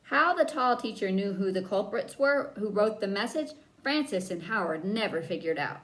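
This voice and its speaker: A woman reading a children's book aloud as a storyteller, with dramatic emphasis and drawn-out key words. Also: medium pitch, expressive, fairly bright tone, poor audio. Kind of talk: expressive read-aloud narration for children.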